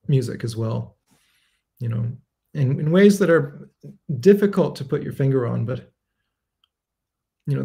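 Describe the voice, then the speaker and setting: A man in his thirties, a composer, answering viewer questions on a livestream from his home study in a measured, reflective way, pausing and correcting himself as he thinks. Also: mid-range voice, gravelly, dark tone. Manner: thoughtful, measured, with frequent fillers and self-corrections. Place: home study lined with bookshelves. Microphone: webcam framing at desk, livestream.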